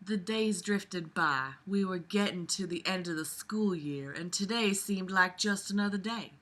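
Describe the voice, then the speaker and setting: A woman's voice: fairly low pitch, measured pace, a bit bright. A woman voicing a Southern Belle with a Southern US accent, narrating a story in a theatrical manner. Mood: drawling, storytelling.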